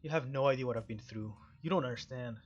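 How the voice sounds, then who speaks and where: A man's voice: medium pitch, quick pace, muffled recording, faint music behind, natural voice. A man playing a troubled, defensive male detective, brooding through visual novel dialogue.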